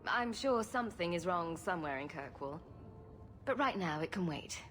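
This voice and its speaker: A woman's voice: lowish voice, animated, normal speed, fairly bright tone, faint music behind. A woman playing a witty, flippant heroine in a video game, sarcastic and breezily confident. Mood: breezy. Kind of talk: video game cutscene acting. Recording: long reverb, faint background noise.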